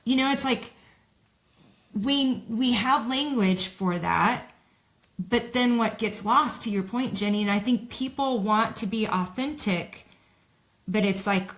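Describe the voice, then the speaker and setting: A woman co-hosting a faith podcast, reflecting aloud in a relaxed chat. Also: fairly high pitch, takes it slowly. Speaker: co-host, pastor.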